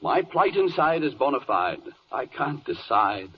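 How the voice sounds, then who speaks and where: An actor playing a droll court interpreter who speaks for a self-pitying little creature, deadpan and mournful, in 1940s radio comedy. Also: male voice, mid-range voice, measured pace, slight background noise. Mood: plaintive. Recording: muffled old radio broadcast.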